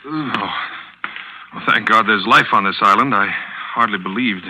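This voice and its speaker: An actor playing a shaken, shipwrecked hunter in earnest, intense old radio suspense style. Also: male voice, on the low side, moderate pace, pushed and bright, slight background noise, rough recording. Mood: dazed. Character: shaken shipwrecked celebrated hunter from New York. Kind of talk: 1940s radio suspense acting.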